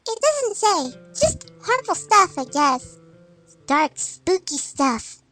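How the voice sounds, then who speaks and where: A woman's voice: very high-pitched, big pitch swings, slow pace, a bit bright, soft background music, full character voice. A woman voicing a kind, quirky, imaginative little filly with a childlike, playful and earnest manner. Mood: dreamy wonder.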